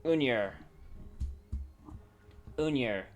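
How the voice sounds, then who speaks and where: A man livestreaming casually, thinking aloud in a halting, unscripted way as he works through ideas. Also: mid-range voice, expressive. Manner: halting, pausing to think and correct himself. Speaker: livestreamer brainstorming a roleplaying campaign.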